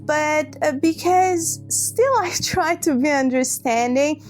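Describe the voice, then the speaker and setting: A woman's voice: high-pitched, takes it slowly, soft background music. A woman telling a personal story to camera, hurt and hesitant, often restarting her words. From Iran. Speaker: vlogger.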